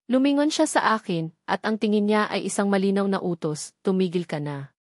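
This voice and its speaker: Female narrator, Filipino accent, mid-pitched, for scripted dramatic fiction in a very dry recording. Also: animated, brisk, no echo.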